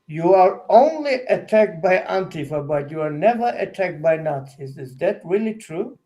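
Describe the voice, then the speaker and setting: A Turkish man speaking halting, non-native English in a street debate, often repeating words as he searches for them. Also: on the high side, sing-song, dark tone. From Turkey. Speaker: activist.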